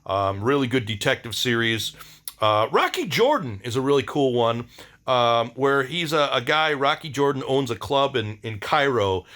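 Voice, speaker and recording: A middle-aged man talking casually in a rambling video diary, halting and slangy, with laid-back enthusiasm. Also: mid-range voice, lively intonation, fairly bright tone. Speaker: vlogger.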